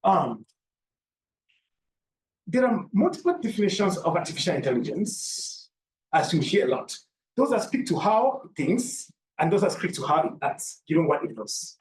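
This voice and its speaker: A man's voice: fairly high pitch, very animated, normal speed. A man from Cameroon giving a seminar lecture, explaining by repeating key points and asking rhetorical questions. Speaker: academic presenter. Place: lecture room. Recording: roomy, from a distance, faint background noise.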